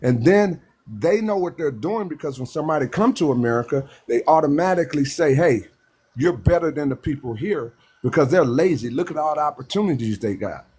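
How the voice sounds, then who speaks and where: A man's voice: fairly high pitch, expressive, a little mellow, breathy edge. A man from Texas, making his point with emphasis and repeated restarts in a live panel discussion. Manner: emphatic, repetitive, halting restarts. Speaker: panel speaker.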